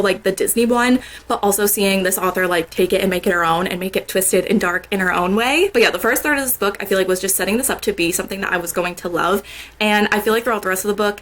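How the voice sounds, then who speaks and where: A woman's voice: mid-range voice, fairly bright tone. A young woman talking casually about a book she finished, filling her talk with "like" and laughing often; she sounds a little congested. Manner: chatty, lots of "like" and "I don't know", laughs often. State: congested after a sore throat. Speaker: book vlogger. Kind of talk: casual book review vlog.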